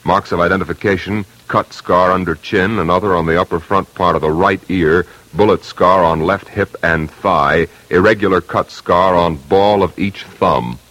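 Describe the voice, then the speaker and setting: A man's voice: low voice, fairly even intonation, normal speed, hardly any pauses, fairly bright tone, dry recording, poor audio. A man reading an official wanted bulletin in clipped phrases on an old radio broadcast.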